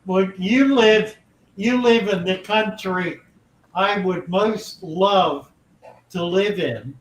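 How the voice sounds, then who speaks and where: An older man hosting a casual call-in chat, giving slow, genial and encouraging replies. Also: high voice, slight background noise. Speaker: host. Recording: roomy sound from far off, faint ambience.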